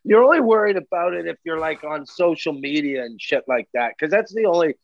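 Irreverent man with a lively, creaky voice. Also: a little high, a little fast, no echo, highs cut off. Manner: irreverent, sweary, jokey. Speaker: interview guest, entertainer. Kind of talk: casual joking chat.

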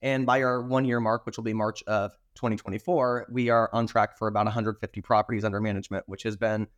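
A hoarse man, a podcast guest, confidently explaining how he runs his property management business. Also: quick pace. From Arizona. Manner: confident, explanatory, steady pitch. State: hoarse from recent illness. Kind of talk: casual interview answers.

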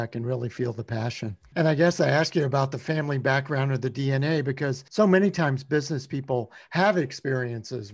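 A man co-hosting a podcast, asking a guest probing questions in a relaxed conversational way. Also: mid-range voice. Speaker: podcast co-host. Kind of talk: interview questions.

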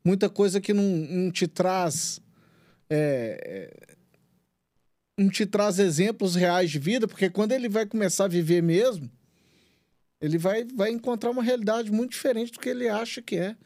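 A male interviewer with a high voice asks long, earnest questions. He circles around his point with repetitions and restarts. The sound is dry and close. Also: normal speed, darkish tone, no echo. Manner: earnest, rambling, repeats himself.

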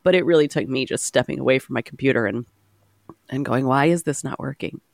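A woman's voice: very deep voice, a little fast, creaky, no room sound, studio quality. A woman co-hosting a podcast in casual chat, sounding nasal from a cold and telling stories with frequent restarts. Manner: storytelling, restarts often. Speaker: podcast co-host, author.

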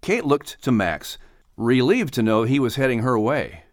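A man's voice: medium pitch, very animated, unhurried, no room sound, studio quality. A man reading a children's story as a scripted audiobook narration.

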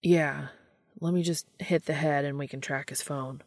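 A woman's voice: low-pitched, fairly even intonation, moderate pace, no echo, clean studio sound. A woman voicing a blunt, exasperated male monster hunter with a casual, sweary way of talking in an audio story. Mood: sheepish.

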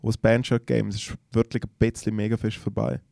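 A young Swiss man with a Swiss German accent, his voice mellow and warm. He co-hosts a podcast, telling slangy, lively anecdotes and laughing often. The sound is dry and close. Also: lowish voice, a little fast, creaky, no room sound. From Switzerland. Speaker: podcast co-host. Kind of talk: casual chat.

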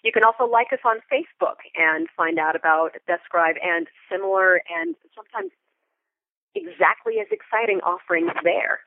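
American woman, creaky voice over phone line. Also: mid-range voice, very animated, normal speed, no room sound. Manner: wordy, articulate phrasing with vivid examples. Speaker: interview guest, theater Foley artist. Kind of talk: conversational interview. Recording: band-limited phone-like line.

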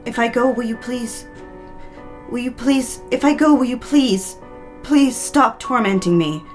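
Woman acting an anxious, high-voiced haunted wife. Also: a little slow, lightly acted. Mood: pleading. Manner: anxious, pleading, repeats herself. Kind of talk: audio drama acting. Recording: music under the voice.

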